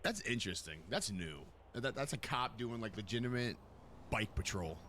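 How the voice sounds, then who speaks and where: A man's voice: mid-range voice, expressive, normal speed, a bit bright, a little hiss or hum. A man who streams games, talking casually and swearing as he mutters along in roleplay. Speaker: game streamer.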